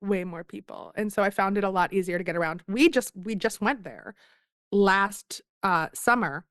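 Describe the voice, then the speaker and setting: A woman's voice: mid-range voice, moderate pace, fairly bright tone, no echo, clean studio sound. A woman in her thirties from Philadelphia, a guest author talking in a casual podcast interview, chatty and self-deprecating as she tells stories.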